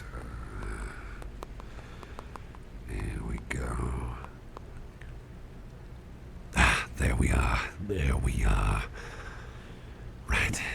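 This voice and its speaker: A man playing a caring, protective boss in an ASMR roleplay, speaking in a gentle, breathy near-whisper with reassuring, hesitant pauses. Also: heavily acted. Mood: calm, casual. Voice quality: breathy near-whisper with heavy low creak. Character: caring, protective male boss tending to his employee. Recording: close recording with clear background noise.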